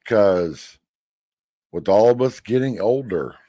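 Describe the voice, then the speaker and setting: Man, fifties, low voice, slow blunt folksy talk, dry sound. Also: expressive, mellow, no echo. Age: 50s. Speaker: commentator reacting to a hearing. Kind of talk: casual commentary over a clip.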